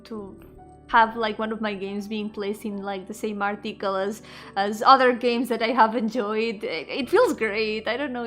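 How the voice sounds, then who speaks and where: A woman's voice: a little high, sharp tone. A woman chatting casually on a livestream, effusive and giggly, often repeating words and trailing off. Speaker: VTuber streamer and indie game developer. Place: indoor solo livestream with music behind the voice.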